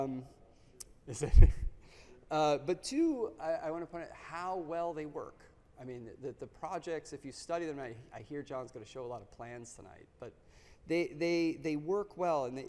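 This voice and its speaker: A man introduces a guest lecturer from a podium in an auditorium. His talk is halting and full of fillers and restarts. The sound carries a long hall reverb. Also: a little high, dark tone.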